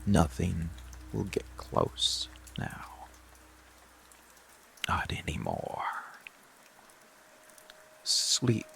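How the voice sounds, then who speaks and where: A man playing a possessive, protective monster lover in dark boyfriend ASMR, hushed and gravelly, often slipping into whispers. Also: sits low, heavily acted. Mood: soothing, possessive. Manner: hushed, breathy, slow soothing menace, often whispering. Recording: music and rain bed behind voice. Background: music, rain sounds.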